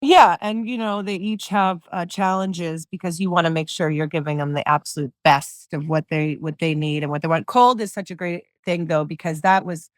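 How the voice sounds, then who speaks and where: A middle-aged woman with a low voice, a singer-songwriter guest chatting loosely in an interview, full of fillers and restarts. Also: normal speed, hardly any pauses, no room sound. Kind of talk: casual interview chat.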